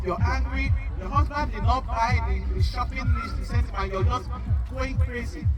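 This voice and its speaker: A woman's voice: on the high side, poor audio. A Nigerian woman, a native Igbo speaker, giving an informal health talk as a doctor in an emphatic, conversational way. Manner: emphatic, conversational, repeats words for stress. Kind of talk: informal public health talk to a community group.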